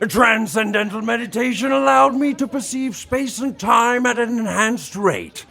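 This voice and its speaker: An actor playing an eccentric, drug-addled mystic spy in an audio comedy, pompous, wordy and grandly serene. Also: male voice, very high-pitched, a little slow, gravelly, pushed and bright, exaggerated voice. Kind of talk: audio comedy drama acting.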